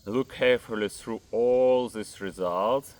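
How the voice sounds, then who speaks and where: A man in his thirties from Ukraine with a Ukrainian accent, speaking English as a second language, giving a measured fitness lesson to camera and listing items one by one. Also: medium pitch, a little mellow. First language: not English. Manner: measured, lists items one by one, non-native grammar. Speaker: fitness coach. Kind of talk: slow explanatory talk to camera.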